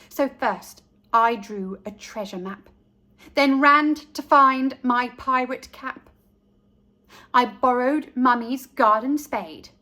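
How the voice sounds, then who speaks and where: Slow, bright, high-voiced woman reading to children. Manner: deliberate, animated storyteller addressing children. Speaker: children's storytime narrator. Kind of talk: expressive picture-book read-aloud for young children.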